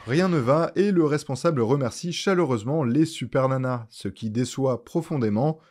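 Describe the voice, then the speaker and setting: A man's voice: mid-range voice, normal speed, a little mellow, a little hiss or hum. A young man giving a scripted, irreverent recap commentary in a colloquial, sarcastic way, with music behind him. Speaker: video essayist narrator. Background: background music.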